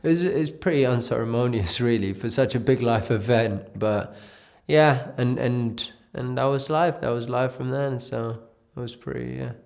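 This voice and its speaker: A man's voice: medium pitch, moderate pace, creaky, a little mellow. A man from Ethiopia giving a reflective personal testimony in an interview, telling his life story in a loose, conversational way.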